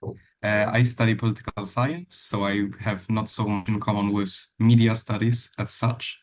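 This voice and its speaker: Low, even-toned Polish man. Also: normal speed. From Poland. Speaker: university student. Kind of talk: self-introduction.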